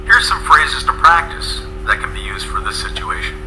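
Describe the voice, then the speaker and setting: Bright, expressive man presenting a lesson. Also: fairly low pitch, slight background noise, rough recording. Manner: measured, explanatory. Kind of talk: scripted instructional narration.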